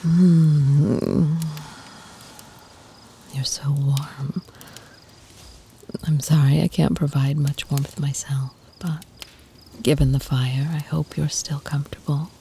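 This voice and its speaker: A woman playing an ancient, tender, protective vampire lover in an ASMR roleplay, speaking in a soft, breathy, soothing whisper close to the mic. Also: deep voice, little pitch movement, a little hiss or hum. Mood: tender, confident. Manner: slow, soothing, intimate murmur with lingering pauses.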